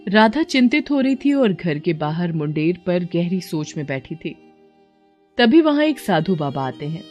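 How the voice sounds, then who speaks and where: A woman's voice: fairly low pitch, very animated, measured pace, darkish tone, faint music behind. A woman narrator reading a scripted moral tale as a storyteller.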